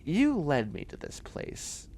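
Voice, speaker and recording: A man's voice: a little high, wildly swooping pitch, takes it slowly, a little mellow, heavily acted. A man playing a nerdy, self-assured young bioengineering student in a comedic sci-fi audio roleplay, chatty, snarky and boastful. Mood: eager, chatty. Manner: chatty, snarky, boastful, muttering asides and exclamations.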